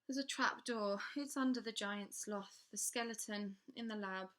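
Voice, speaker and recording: A woman's voice: mid-range voice, normal speed, a bit bright, natural voice. A woman voicing a frightened but defiant young girl who pleads breathlessly. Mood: hushed.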